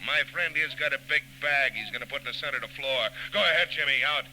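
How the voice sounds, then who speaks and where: An actor playing a tough American gangster, menacing and slangy, with a gravelly, hard-edged voice in a 1940s radio crime drama. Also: male voice, mid-range voice, fast, bright, forceful tone. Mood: menacing, commanding. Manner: slangy, ungrammatical tough-guy talk. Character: tough, menacing stick-up gangster barking orders. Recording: old noisy 1940s radio recording.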